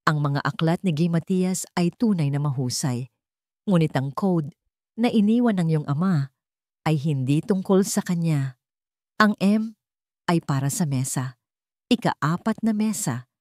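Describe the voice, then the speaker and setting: A woman voicing a gentle, wise, fatherly old man in an audio story, speaking in a measured, emotional way. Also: sits low, animated, dry recording, studio quality. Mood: calm, explaining.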